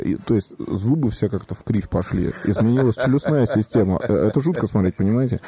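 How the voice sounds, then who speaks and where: A man's voice: lowish voice, warm tone, muffled recording. A man in a comic, rambling discussion, telling anecdotes in an insistent, mock-serious way with hesitations and repetitions.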